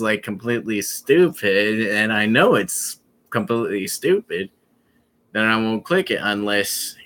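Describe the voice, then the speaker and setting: A young man chatting casually to his viewers on a live stream, rambling and halting, with many fillers and restarts. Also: on the low side, pushed and bright.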